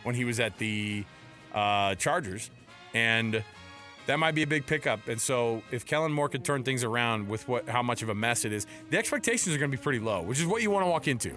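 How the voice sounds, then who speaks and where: A man's voice: mid-range voice, expressive, a little fast, pushed and bright, faint music behind. An American man co-hosting a sports radio show, chatting casually and jokingly in colloquial style from a studio.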